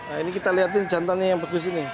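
A middle-aged man, walking through outdoor livestock barns and explaining his goat farm in a relaxed, matter-of-fact way. He speaks into a lapel mic. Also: sits high, very fast, background noise. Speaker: goat farm owner giving a tour. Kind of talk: casual explanatory tour talk. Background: background music.

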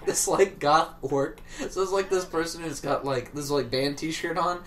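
A let's play co-host chats in a casual, jokey way at a middling pitch. The talk rambles along with the odd swear word, as loose commentary between friends. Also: moderate pace, fairly bright tone.

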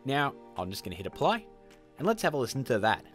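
A man's voice: mid-range voice, sing-song, fast, soft background music. A man in his thirties presenting a tutorial in a chummy, informal, upbeat way, talking to viewers as guys. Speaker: tutorial host.

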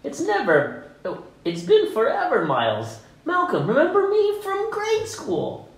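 A woman voicing an excitable, overfamiliar male schoolmate in a comedy table read, gushing and bouncy. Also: high-pitched, unhurried, exaggerated voice. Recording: echoey room, off mic.

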